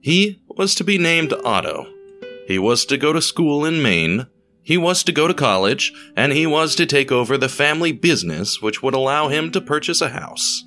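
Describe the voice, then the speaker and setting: A man reading a scripted story as narrator, in a measured, dramatic way with deliberate pauses. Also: on the high side, very animated, bright, forceful tone. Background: music.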